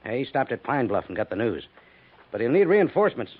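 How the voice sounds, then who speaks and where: Senior cavalry major, concerned authority, rough husky tone, lively pitch, thin phone-like 1950s radio sound. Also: male voice, fast. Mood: urgent. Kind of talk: 1950s radio drama acting.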